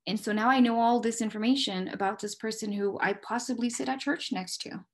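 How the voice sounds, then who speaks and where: A woman's voice: fairly high pitch, brisk. A woman with an American accent giving candid, conversational interview answers as a police dispatcher, explaining things step by step and sometimes posing her own questions.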